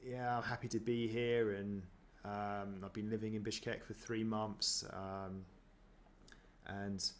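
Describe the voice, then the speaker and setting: A man's voice: lowish voice, flat delivery, slow pace, slight background noise. Chatty man reacting to a video. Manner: chatty and self-deprecating, many ums and restarts. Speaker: running vlogger. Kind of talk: casual reaction commentary.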